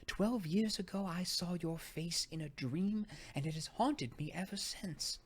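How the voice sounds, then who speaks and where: A man voicing a mysterious, wonderstruck young lady in a gothic audiobook, breathy and intense. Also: sits high, measured pace, voice pushed up. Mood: amazed. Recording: noticeable background noise.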